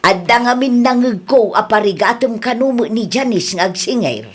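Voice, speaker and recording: A woman with an Ilocano accent playing a nagging, blunt older aunt in a comedy radio drama, scolding and expressive. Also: a little high, normal speed, slightly rough.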